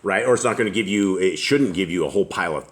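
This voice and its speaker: A middle-aged man with a deep, low voice leads a home Bible study. He reads scripture aloud at an unhurried pace and stops to put questions to the others.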